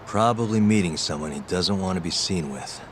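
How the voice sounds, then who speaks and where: Deep-voiced, composed detective played by a man. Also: normal speed, a little hiss or hum, lightly acted. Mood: low-key, watchful. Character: composed, street-smart male private detective. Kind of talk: English video game dub dialogue. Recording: game audio with music underneath. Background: background music.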